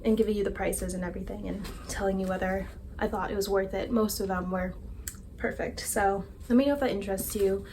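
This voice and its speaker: A woman's voice: mid-range voice, darkish tone, slight background noise. A young woman chatting casually and rambling a little, self-deprecating, as a book vlogger. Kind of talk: casual chat.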